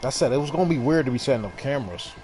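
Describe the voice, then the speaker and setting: A man's voice: mid-range voice, lively intonation, very fast. A man streaming a video game with casual, rambling, repetitive commentary. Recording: noisy stream, game audio behind. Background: video game audio.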